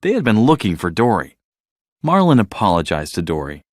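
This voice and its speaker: A man reading a children's bedtime story as narrator, in an expressive, scripted storytelling style. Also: fairly low pitch, brisk, no room sound, studio quality.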